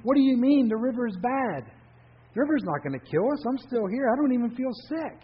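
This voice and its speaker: A man's voice: animated, fairly quick, slightly rough, heavily acted. A man voicing a curious, earnest young river dweller who pleads in a raised voice. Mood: pleading. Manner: eager, pleading, raises pitch high. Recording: dull lo-fi recording.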